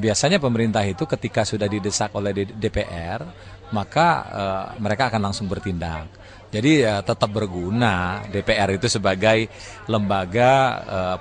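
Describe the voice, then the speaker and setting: A man speaking as a parliamentarian, explaining things methodically, step by step. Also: lowish voice. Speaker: member of parliament. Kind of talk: explanatory talk.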